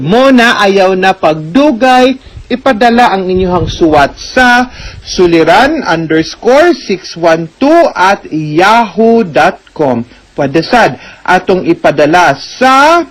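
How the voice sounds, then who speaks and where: A woman hosts a radio advice program with a Cebuano Filipino accent. She addresses listeners very slowly and reflectively, sometimes slipping into English. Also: mid-range voice, rough recording. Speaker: radio legal advice host, attorney.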